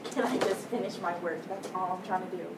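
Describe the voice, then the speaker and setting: A woman's voice: lowish voice, a little fast, close to an everyday voice. A young woman playing a stressed student who is behind on her homework and answers curtly and defensively. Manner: defensive, curt, impatient. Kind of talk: amateur short film acting. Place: school library and hallways. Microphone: far camera. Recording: echoey, from far off, noisy.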